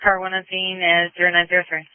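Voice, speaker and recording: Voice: mid-range voice, completely flat delivery, quick pace, smooth, no echo. A police radio dispatcher relaying messages in a clipped, procedural way, repeating them back over a radio channel. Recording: narrowband police radio transmission.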